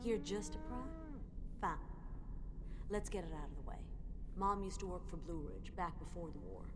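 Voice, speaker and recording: An actress playing a guarded, businesslike young cargo boss in a video game, speaking in a dry, matter-of-fact way. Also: female voice, lowish voice, moderate pace, background noise, close to an everyday voice. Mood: reluctant, guarded. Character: guarded, businesslike young woman running a cargo trading outfit. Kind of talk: video game dialogue acting.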